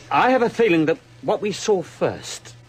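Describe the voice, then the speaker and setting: A man playing an agitated, fanatical scientist at a polar research station in an audio drama, halting and stammering as he explains intensely. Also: on the high side, sing-song. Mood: uneasy, explaining.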